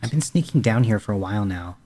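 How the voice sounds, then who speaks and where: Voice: medium pitch, animated, fast talker, mellow. A performer playing a bossy, know-it-all boy, the older brother in an audio drama. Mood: boastful. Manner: snide, bossy. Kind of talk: audio horror-comedy drama.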